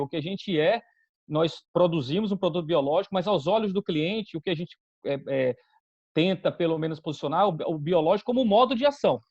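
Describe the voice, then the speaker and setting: A Bahian man in his forties answers interview questions in a measured, deliberate way, often restarting his phrases. His voice sits a little high for a man, and the recording is dry and dull, with little room sound. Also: darkish tone, no echo. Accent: Bahian Brazilian Portuguese. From Salvador, Bahia, Brazil. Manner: measured, with frequent restarts and fillers. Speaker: guest, company executive.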